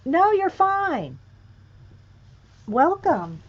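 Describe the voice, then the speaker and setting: Slow-talking woman, chatty art livestream host. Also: very high-pitched, sing-song, lo-fi sound. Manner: chatty, reads and answers viewer comments; soft, half-muttered asides while working. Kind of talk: casual livestream talk while painting. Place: at a table with paints. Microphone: overhead camera on hands and page.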